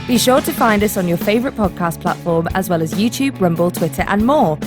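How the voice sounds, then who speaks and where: A woman's voice: mid-range voice, lively intonation, a little fast. A woman reading a scripted promotional announcement for a show. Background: rock music with guitar and drums.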